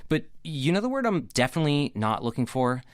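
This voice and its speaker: An actor playing a charming, eager, optimistic young theater dreamer in an audio drama, with a playful, glib, upbeat manner. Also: male voice, on the high side, sing-song, quick pace, smooth, dry recording, clean studio sound. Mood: wry, determined.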